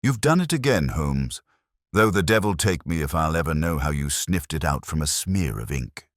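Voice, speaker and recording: A man voicing a weary, gruff police inspector in a storybook, blunt and colloquial, grudgingly admiring. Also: rumbling low, lively intonation, moderate pace, no echo, clean studio sound. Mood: grudging respect.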